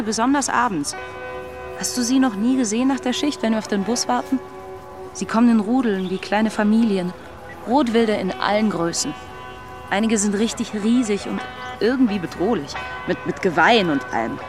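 An actress playing an earnest, skeptical Mexican immigrant woman working in fast food, in a naturalistic radio drama. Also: mid-range voice, lively intonation, music in the background, close to an everyday voice.

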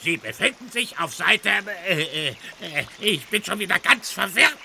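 Pedantic muddled storybook guide, high voice, super gravelly, kids audio play. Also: male voice, sing-song, normal speed, bright, forceful tone, a little hiss or hum, full character voice. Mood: flustered. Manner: pedantic, flustered, announcing page numbers. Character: fussy, easily confused talking page-finder for Grimm's fairy tales. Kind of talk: children's radio play acting.

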